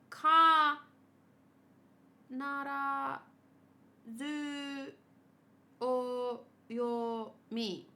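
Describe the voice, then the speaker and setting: A woman's voice: fairly high pitch, bright, forceful tone. A thirty-year-old woman streamer chatting casually in a gushing, cutesy, rambling way. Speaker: streamer, host of a gaming channel. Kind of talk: casual livestream chat while unboxing plush gifts.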